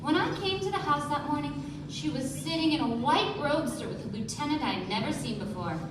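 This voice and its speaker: A woman's voice: sits high, animated, fairly quick, noisy background. A woman acting a poised young socialite who narrates dramatically in a live radio play. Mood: dramatic storytelling. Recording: echoey stage, distant mic, music behind.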